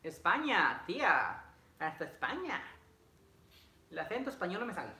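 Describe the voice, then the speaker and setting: A man's voice: high voice, wildly swooping pitch, normal speed, sharp tone. A young adult man, a vlogger, chatting casually and repetitively with viewers while he works with his hands in a living room. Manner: chatty, reads out greetings, repeats phrases. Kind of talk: casual livestream chat with viewers while assembling furniture. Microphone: camera a few feet away. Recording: echoey room, noisy, clicks.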